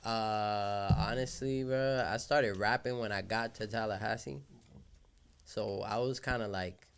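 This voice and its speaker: A young man from Colombia whose first language is Spanish, a rapper and event organizer telling his story in a casual podcast interview, relaxed and slangy. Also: fairly low pitch, unhurried, creaky, clear, resonant tone. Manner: laid-back slangy storytelling, often says "you feel me".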